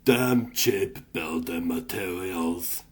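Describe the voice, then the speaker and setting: A gruff but easygoing cartoon pig superhero, played by a man. The voice sits low and drawls along in folksy country talk. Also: extremely slow, heavily acted. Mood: wry, grumbling. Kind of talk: comic dub voice acting.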